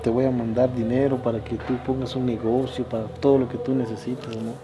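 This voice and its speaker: A man's voice: mid-range voice, level tone, brisk, noisy background, lightly acted. A young man playing a tender boyfriend saying goodbye before he leaves, in a casual web drama outdoors. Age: young adult. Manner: earnest, tender. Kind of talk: amateur web drama acting. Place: outdoors in a garden.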